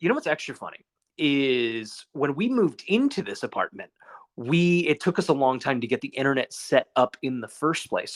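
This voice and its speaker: A man's voice: mid-range voice, a bit bright. Rambling guy chatting on a phone call. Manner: rambling, casually profane. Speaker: podcast co-host. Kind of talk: casual podcast chat over the phone.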